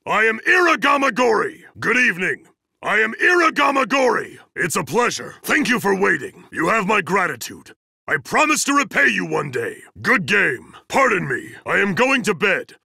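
An actor playing a huge, stern, zealous student enforcer in an anime fighting game, booming and bombastic, with formal, emphatic delivery and a gravelly, forceful voice. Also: male voice, big pitch swings, moderate pace, no room sound, studio quality, exaggerated voice.

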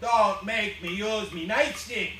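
A man's voice: high-pitched, slow pace. A man playing a bossy police officer who barks curt commands in a live radio play. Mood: commanding. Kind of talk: live 1940s-style radio play acting. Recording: roomy, distant, noisy theatre audience recording.

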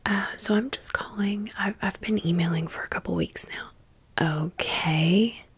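A woman doing a chatty, rambling ASMR storytime in a breathy whisper with some creak and a wry manner. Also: medium pitch, a little slow. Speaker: ASMR host.

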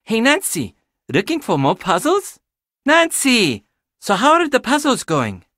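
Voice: medium pitch, huge pitch swings, unhurried, a bit bright, dry recording, clean studio sound. An actor playing a quirky, chatty young handyman who loves puzzles in a video game, enthusiastic with sardonic, casual asides. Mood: excited, friendly. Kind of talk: video game character dialogue.

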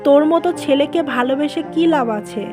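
A woman's voice: high voice, normal speed, over music, natural voice. A woman voicing a greedy, cold-hearted, scheming mother of a grown son in a cartoon drama. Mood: cold, spiteful. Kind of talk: dubbed cartoon drama dialogue.

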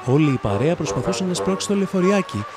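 A man's voice: sits high, sing-song. A man reading a scripted fairy tale as a storybook narrator. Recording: music behind the narration. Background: loud instrumental music.

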